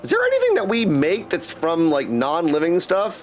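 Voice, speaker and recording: A man's voice: a little high, wildly swooping pitch, normal speed, a little hiss or hum. A man chatting casually and in a friendly way with viewers as he streams a video game. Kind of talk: casual livestream chat over gameplay. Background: music.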